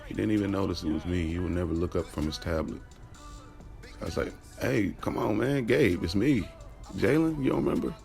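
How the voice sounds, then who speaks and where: Man acting as deep gravelly easygoing young driver. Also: a little mellow, soft background music. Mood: casual, storytelling. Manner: conversational, slangy, unhurried. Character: Jalen, easygoing young male driver, smitten, recounting his day. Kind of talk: first-person audio drama narration, casual storytelling.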